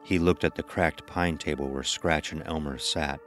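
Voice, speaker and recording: A man's voice: rumbling low, fairly even intonation, normal speed, fairly bright tone, faint music behind. A man narrating a scripted horror story as the narrator of an audio drama.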